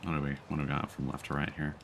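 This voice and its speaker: A man chatting casually as he live-streams a game, musing aloud in an unhurried way. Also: rumbling low, completely flat delivery, vocal fry, fairly bright tone, slight background noise.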